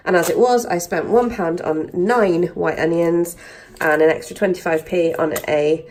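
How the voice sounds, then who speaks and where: Croaky, congested woman vlogging. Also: fairly low pitch, normal speed, darkish tone, rough recording. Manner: chatty, rambling, with frequent ums. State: croaky sore throat, congested. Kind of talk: casual chat to camera.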